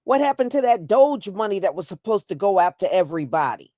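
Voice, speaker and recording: A woman's voice: medium pitch, big pitch swings, brisk, warm tone, no echo, clean studio sound. A woman with an American accent giving casual, emphatic, folksy opinion commentary as a live stream host.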